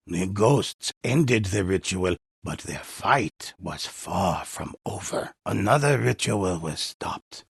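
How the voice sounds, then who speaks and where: A grave old man narrates ghost legends for a video game. His voice is deep and husky, and he takes his time over every phrase with slow, ominous solemnity. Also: no room sound, clean studio sound, heavily acted. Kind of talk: dramatic scripted storytelling for a video game.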